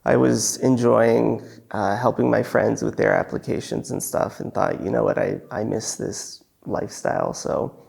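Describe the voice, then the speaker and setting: Gravelly young man, relaxed. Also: fairly low pitch, normal speed, few pauses. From San Diego, California. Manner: relaxed, thoughtful, many ums. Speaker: guest, PhD student. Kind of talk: casual podcast chat.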